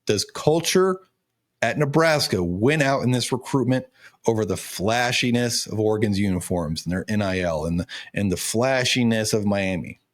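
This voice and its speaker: A man in his thirties hosting a sports commentary show, speaking emphatically while his voice cracks as if he is losing it. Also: on the low side. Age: 30s. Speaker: sports show host and recruiting analyst. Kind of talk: college football recruiting commentary.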